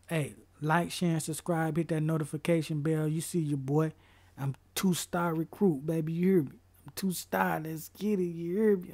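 A man's voice: on the high side, a little mellow. A young American man doing casual, hyped gaming commentary with slangy, boastful trash talk. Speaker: gaming channel host.

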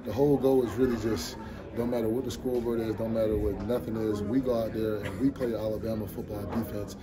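A young man with a lowish voice speaks easily after a game, padding his answers with "you know" and "uh". Reporters' phones and mics are held close to him in an indoor hallway. Loud crowd chatter runs behind him the whole time. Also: fairly even intonation, fast talker. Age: young adult. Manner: laid-back, many "you know" fillers. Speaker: college football linebacker. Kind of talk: postgame press scrum answers. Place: locker room hallway, indoors, among reporters. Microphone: reporters' phones and handheld mics held close. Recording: noisy press scrum with crowd chatter.

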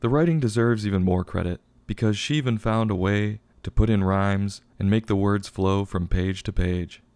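A man's voice: lowish voice, moderate pace, few pauses, darkish tone, smooth, no room sound. A man hosting a review show, reading a scripted review in a tongue-in-cheek manner. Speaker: book review show host.

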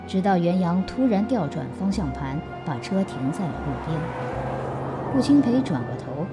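Low-pitched woman narrating a drama. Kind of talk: scripted narration of an audio drama. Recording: music behind narration.

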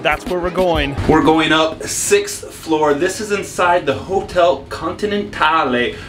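A man's voice: on the high side, unhurried. An enthusiastic, emphatic man in his forties presenting an upbeat travel vlog to a handheld camera outdoors. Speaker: travel vlogger. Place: outdoors, city streets and an open rooftop terrace. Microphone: handheld camera at arm's length. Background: music.

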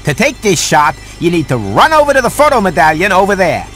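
An actor playing a sarcastic, fussy house cat who wisecracks his way through an animated video game. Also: high voice, brisk, faint music behind, full character voice. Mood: wry, instructing. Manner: wisecracking, sardonic.

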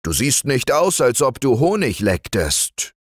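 A man voicing a vain, fussy male bear in a storybook reading, raising his voice high and making it whiny. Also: very animated, measured pace, no echo, studio quality.

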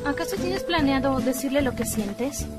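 Una mujer con acento latinoamericano interpreta a una adolescente sensata, observadora y expresiva en un doblaje de anime hecho por fans. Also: female voice, high voice, lively intonation, normal speed. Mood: thoughtful, firm. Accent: Latin American Spanish. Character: sensible, observant teenage schoolgirl, exasperated friend. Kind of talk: anime fan dub acting. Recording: loud music behind the voice.

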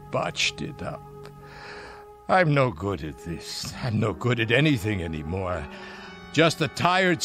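An actor playing a frail, desperate elderly man in a radio drama, speaking haltingly and wearily. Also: fairly low pitch, exaggerated voice. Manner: halting, stammering. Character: frail, pained elderly husband, weary and desperate. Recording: radio drama with music underneath.

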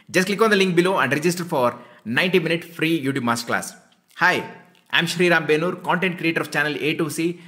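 A man's voice: a little high, bright, forceful tone. A man in his thirties with an Indian accent, giving a scripted promotional talk as a coach. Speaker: YouTube coach. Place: small indoor room.

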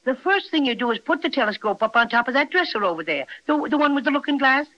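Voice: sits high, lively intonation, quick pace. An actor playing a tiny, cheerful, fussy talking toy bear in a chatty, self-important 1930s radio style. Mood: explaining. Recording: muffled, narrow-band 1930s radio recording.

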